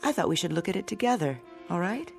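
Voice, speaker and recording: A woman's voice: on the low side, sing-song, fast talker, gravelly, soft background music. An actress playing a middle-aged mother in a radio drama, fussing and coaxing with motherly insistence. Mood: puzzled, insistent.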